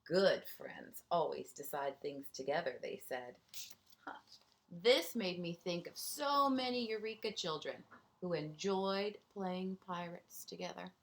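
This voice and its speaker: A woman's voice: fairly low pitch, expressive, measured pace, gravelly. A woman teacher reading a picture book aloud to young children, expressively, with asides and questions to her listeners.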